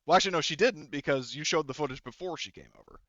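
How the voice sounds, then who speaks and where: A man's voice: a little high, quick pace, a bit bright, no echo, lo-fi sound. A man narrating a tabletop roleplaying game as game master in a casual, rambling way that often cuts itself off.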